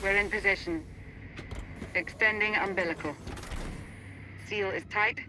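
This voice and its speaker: A woman's voice: mid-range voice, fairly even intonation, unhurried, noisy background, close to an everyday voice. A woman playing a businesslike female crew member reporting over comms in a video game. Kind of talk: video game cutscene acting.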